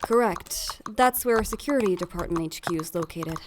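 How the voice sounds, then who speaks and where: A woman voicing a brisk, formal, scheming female staff aide, articulate and dryly businesslike, in a dramatic fandub. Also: on the low side, background noise, close to an everyday voice. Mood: polite, businesslike.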